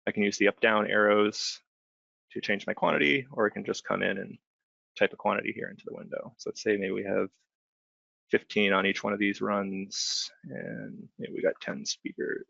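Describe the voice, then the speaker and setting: A man giving an informal, step-by-step software demonstration as a webinar trainer, explaining conversationally and often checking in with short tag questions. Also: fairly low pitch, gravelly, slightly rough, dry recording. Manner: conversational, explanatory, frequent check-in tags like "right?". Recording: dull, band-limited close dry voice recording.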